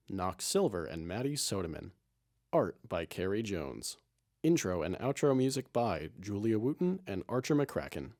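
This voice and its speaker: Low-pitched, lively male announcer. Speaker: series announcer. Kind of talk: scripted credits announcement.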